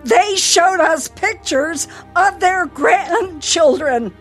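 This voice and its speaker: An actress playing a fretful, grieving older wife in a radio play, plaintive and emotional. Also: female voice, extremely high pitch, very slow, soft background music, heavily acted. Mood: bitter, insistent. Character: fretful, grieving elderly wife, clinging to memories.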